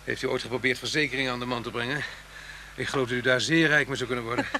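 A man's voice: mid-range voice, steady tone, racing, lightly acted. An actor playing a courteous but stubborn, reserved man in a Dutch radio play, speaking in a hesitant, defensive way. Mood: polite, reluctant. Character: reserved, stubborn, courteous man keeping kind strangers at arm's length. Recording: noisy old radio drama recording.

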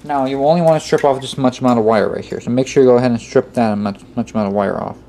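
Casting: male how-to presenter, medium pitch, casual and methodical, repeats instructions, recorded with noticeable background noise. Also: fast talker, mellow. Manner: step-by-step, repeats himself. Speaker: tutorial presenter. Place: indoor workbench. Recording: clearly noisy amateur room recording. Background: clear background noise.

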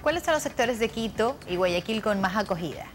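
A woman's voice: a little high, animated, brisk. A young woman presents a television show. Her delivery is polished and made for broadcast, with music playing underneath. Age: young adult. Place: television studio. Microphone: lapel.

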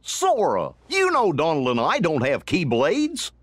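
Casting: amiable bumbling game dog, husky hiccupy drawl, wildly swooping tune. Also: male voice, on the high side, huge pitch swings, a little slow, dry recording, exaggerated voice. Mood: mild, friendly.

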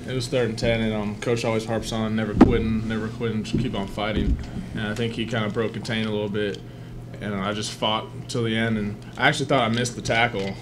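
A young male football player talks in a relaxed way at a press table, full of small fillers. His voice sits low. Noise stays audible in the background. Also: fairly quick, hardly any pauses. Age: young adult. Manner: easygoing, filler-heavy. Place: press room before a sponsor backdrop. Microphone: table mic in front.

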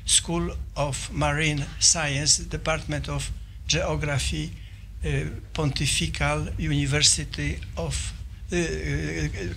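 An older man with a Polish accent gives a formal talk in an office meeting room, halting and restarting as he searches for words. His voice is a little high with a gravelly edge. The far camera picks up clear room noise around him. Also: bright, forceful tone. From Poland. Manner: halting, with many fillers and restarts. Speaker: visiting scholar presenting. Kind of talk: formal presentation to a small audience.

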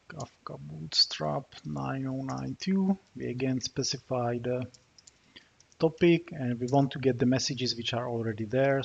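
A Czech man talks through a terminal demo at a mid pitch, stopping often with small fillers as he types commands. The recording is a bit dull and muffled, with keyboard clicks under his voice. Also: vocal fry, breathy edge. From Czech Republic. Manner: narrates while typing, frequent uh fillers. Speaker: software developer demoing a tool. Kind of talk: screencast tutorial walkthrough.

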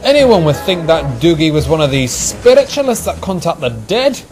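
A man's voice: fairly high pitch, lively intonation, takes it slowly, faint music behind, voice pushed up. A man voicing a rude, teasing steam engine with a scoffing manner in a children's story. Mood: mocking. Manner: mocking, scoffing. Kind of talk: children's storybook character acting.

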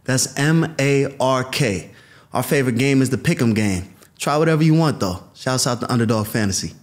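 Playful young man co-hosting a podcast. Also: mid-range voice. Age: young adult. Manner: playful, joking, quick questions. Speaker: podcast co-host. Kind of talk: casual chat. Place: high-rise studio with city windows. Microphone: studio mic on boom arm.

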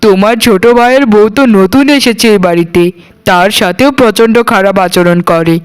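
Woman acting a clear-voiced, sensible eldest sister-in-law. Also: medium pitch, a little fast, lightly acted. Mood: reproachful. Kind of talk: scripted cartoon dialogue.

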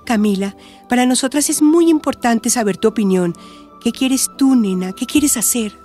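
A woman acts a supportive female counsellor in a radio drama. Her voice is mellow and a little high, with a reassuring, explanatory manner over faint music. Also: moderate pace, natural voice. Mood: gentle. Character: calm, supportive woman counsellor advising a family.

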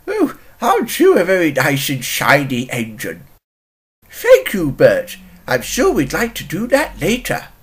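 A man's voice: high voice, slightly rough, heavily acted. A man voicing a mild, polite, eager clergyman from a children's cartoon.

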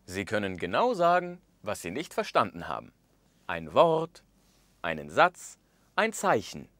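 A man in his thirties presenting a language course in a studio, speaking with expressive gestures and acting out the example phrases. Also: fairly low pitch, unhurried, no room sound. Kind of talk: scripted lesson narration. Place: studio with orange backdrop.

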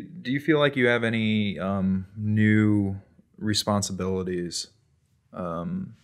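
A young man hosting a podcast interview, conversational, prodding his guest with follow-up questions. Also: low voice. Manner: conversational, pushes back, frequent "mm-hmm". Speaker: podcast host. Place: small podcast studio. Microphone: desk microphone.

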